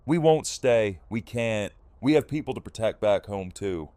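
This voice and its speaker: A man's voice: on the low side, animated, a little slow, mellow, dry recording. A man playing a weary, resolute leader of grieving survivors in an audio drama. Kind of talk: full-cast audio drama acting.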